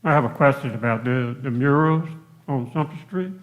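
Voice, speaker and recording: A man's voice: medium pitch, moderate pace. Man on a thin, phone-like line. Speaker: commissioner. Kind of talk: brief formal questioning. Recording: thin, phone-like narrow audio.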